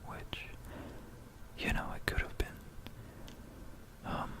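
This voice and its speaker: An ASMR narrator from Florida with an American accent whispers a musing, explanatory history talk close to a stereo microphone. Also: slow. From Florida, United States. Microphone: close stereo binaural microphone.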